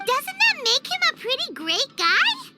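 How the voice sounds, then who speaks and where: A woman voicing a tiny, chirpy female fairy companion in a video game cutscene, quick and expressive. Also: very high voice, heavily acted. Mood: curious. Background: loud game music.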